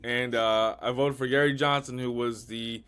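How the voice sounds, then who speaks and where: A man telling personal stories as a podcast guest, animated and repeating key lines. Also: mid-range voice, normal speed, clean tone. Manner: animated storyteller, repeats himself. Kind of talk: casual storytelling.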